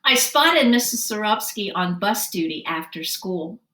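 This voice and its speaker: A woman reading a children's story aloud, narrating expressively and deliberately and acting out the dialogue. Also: medium pitch, slight background noise. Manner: animated, deliberate, acts out dialogue. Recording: roomy, distant microphone.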